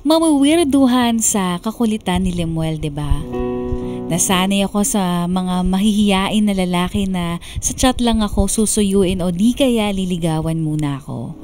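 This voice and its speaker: Lady acting young woman narrating her love story, bemused n confiding, normal pitch, soft music bg. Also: lively intonation, measured pace, close to an everyday voice. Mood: pensive, bemused. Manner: confiding, wondering. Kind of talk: first-person radio drama narration.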